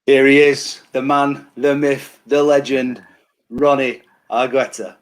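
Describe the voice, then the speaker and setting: Host, man, chatty, hypes the guest, mid pitch, lots of ums. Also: flat delivery, slow pace, low-quality recording. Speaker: show host. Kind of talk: casual online interview show.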